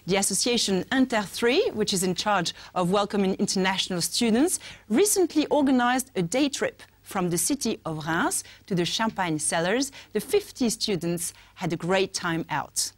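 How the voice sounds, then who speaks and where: A woman's voice: medium pitch, lively intonation, moderate pace, hardly any pauses. A woman presenting a news bulletin with scripted links and interview questions.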